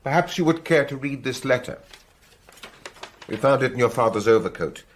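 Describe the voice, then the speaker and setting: An actor playing a courteous, authoritative French examining magistrate in a radio drama, with a formal, official manner. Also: male voice, mid-range voice, expressive, normal speed, slight background noise, close to an everyday voice. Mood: probing, official.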